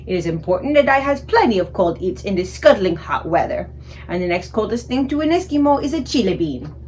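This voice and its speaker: A woman voicing a greedy, bullying male giant who eats people, booming and blustering in a children's storybook reading. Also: sing-song, fairly quick, a little mellow, a little hiss or hum, close to an everyday voice. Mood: gloating. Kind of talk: children's storybook read-aloud.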